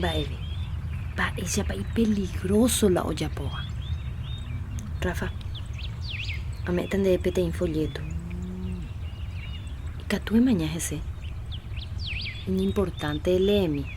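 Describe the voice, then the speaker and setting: An actress playing Juliana, an earnest rural wife in a radio drama. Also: female voice, on the low side, takes it slowly, long pauses, noisy background. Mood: worried.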